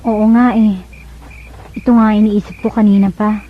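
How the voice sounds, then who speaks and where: A woman's voice: medium pitch, little pitch movement, normal speed, close to an everyday voice. An actress with a Filipino accent playing a determined, scheming young woman resigned to a loveless marriage in a radio drama. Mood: pushy, impatient. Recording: dull lo-fi radio broadcast.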